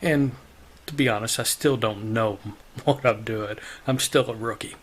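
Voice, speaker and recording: A man with a weak voice that is starting to give out, casually talking through a software tutorial in an informal, self-deprecating way. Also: medium pitch, measured pace, a little hiss or hum. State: weak voice, losing his voice. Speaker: tutorial host. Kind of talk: casual screen-recorded software walkthrough.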